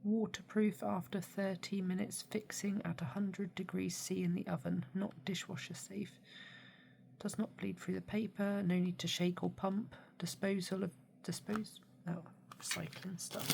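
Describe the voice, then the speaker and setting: Woman with a cold, hoarse n snuffly, half whispering thru an unboxing, noisy background. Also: mid-range voice. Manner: soft-spoken, often drops to a near whisper; mildly critical, hesitant with many ums. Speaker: art supply unboxing vlogger. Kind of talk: casual unboxing chat. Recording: steady background noise.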